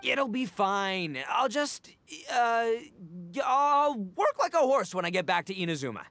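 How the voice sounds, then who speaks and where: A man voicing a brash, excitable young male oni gang boss in a video game, with bombastic, slangy delivery. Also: high-pitched, measured pace, dry recording, exaggerated voice. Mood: cocky, determined. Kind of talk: animated game voice acting.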